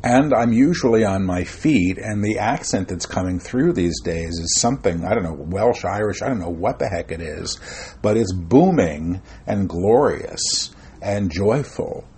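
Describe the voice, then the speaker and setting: A man's voice: low voice, expressive, a little slow, darkish tone, husky. A middle-aged man talking as an interview guest about his spiritual work, rambling with frequent fillers and restarts. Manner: self-described shy and reserved. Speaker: guest, spiritual channeler and author. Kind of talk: conversational interview.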